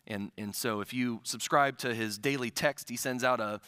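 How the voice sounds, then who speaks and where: A man in his forties, a pastor from the Midwest, preaching a sermon at a church pulpit on a headset mic, swelling loud and dropping to soft asides. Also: mid-range voice, moderate pace, clean tone, no echo, clean studio sound. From American Midwest. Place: church sanctuary stage at a pulpit.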